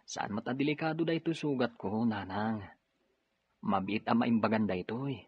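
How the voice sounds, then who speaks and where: A man with an Ilocano accent acting a dutiful young policeman and son in an audio drama, with a husky voice. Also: fairly low pitch, moderate pace, no echo. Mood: reassuring. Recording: dull lo-fi drama recording.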